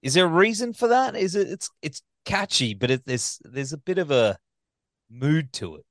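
Animated man interviewing casually. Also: a little high, moderate pace, dry recording, studio quality. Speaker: podcast interviewer.

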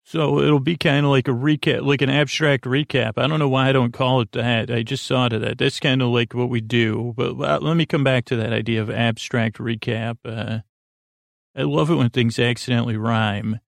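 A man in his forties with a creaky voice, hosting a sleep podcast in a halting, lulling, meandering bedtime monologue full of tangents. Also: mid-range voice, steady tone, dry recording, studio quality. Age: 40s. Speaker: podcast host. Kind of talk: rambling bedtime monologue to help listeners sleep.

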